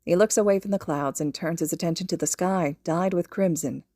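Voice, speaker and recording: Female story narrator, lowish pitch, scripted read, dry recording. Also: brisk, warm tone, no room sound. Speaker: podfic narrator.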